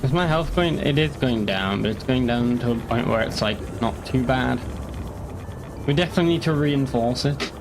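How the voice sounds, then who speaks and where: A man's voice: medium pitch, normal speed, vocal fry, over music. Rambling young man streaming a game. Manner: rambling, joking, swears casually. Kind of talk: casual gaming commentary.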